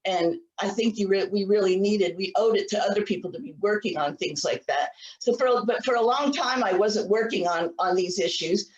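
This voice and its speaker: Woman, 60s, university biology lecturer on a video call; earnest, halting delivery; roomy echo, distant mic. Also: mid-range voice, dull audio. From United States. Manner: earnest, many restarts and repeated words. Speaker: biology professor giving a lecture. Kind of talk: virtual lecture with slides. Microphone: computer mic on a video call. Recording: echoey room, voice a little far from the mic.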